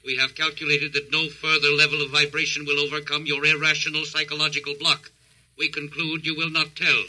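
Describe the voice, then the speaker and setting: A man's voice: a little high, monotone, brisk, pushed and bright, rough recording. A man playing a cold, logical alien interrogator in a 1950s radio drama, speaking in a stilted, formal, mechanical way. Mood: coldly insistent.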